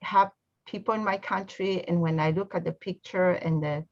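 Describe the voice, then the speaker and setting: A woman from Rwanda with a Rwandan accent, speaking as a guest, grateful and halting, with many fillers. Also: fairly low pitch, fairly even intonation, highs cut off. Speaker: guest, trip partner. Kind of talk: casual recorded video message.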